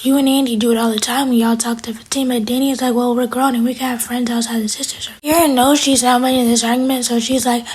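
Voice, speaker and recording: A woman's voice: a little high, a little mellow, husky. A woman whispering her way through a casual recap of a TV episode.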